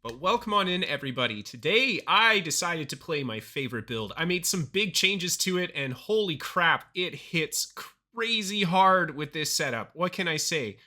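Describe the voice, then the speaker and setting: Hoarse, bright-toned gaming commentator man. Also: a little high, normal speed, hardly any pauses. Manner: upbeat, excited over big plays. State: hoarse from illness. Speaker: gaming YouTuber. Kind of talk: gameplay commentary voice-over.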